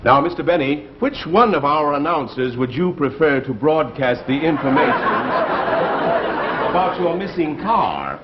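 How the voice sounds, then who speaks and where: An actor playing an officious, reassuring police sergeant, brisk and formal, in a 1950s radio comedy. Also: male voice, fairly high pitch, big pitch swings. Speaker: supporting actor. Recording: muffled old radio recording, studio audience, hiss.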